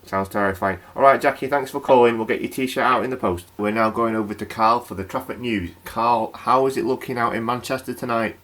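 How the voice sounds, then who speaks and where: A man's voice: medium pitch, fairly quick, few pauses, a bit bright, lightly acted. An actor playing a chatty, wry male local radio night host. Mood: curious, chatty.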